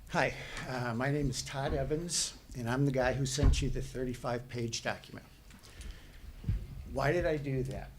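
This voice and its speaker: Northern guy w a chicago accent, earnest and deliberate, talking at a public hearing, faint hiss behind. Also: medium pitch. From Northern United States. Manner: earnest, self-deprecating, deliberate. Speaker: neighbor speaking against a land development.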